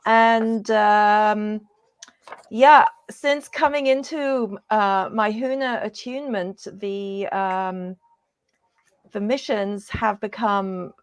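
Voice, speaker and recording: A woman from the UK introducing herself as an interview guest, talking casually in an earnest, halting manner. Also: mid-range voice, fairly even intonation, clear, resonant tone, no room sound. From born and raised in the UK. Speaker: guest, coach.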